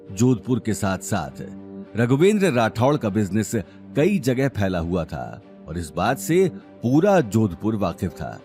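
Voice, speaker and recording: A man's voice: on the low side, darkish tone. A man narrating a scripted audio drama story in a dramatic style.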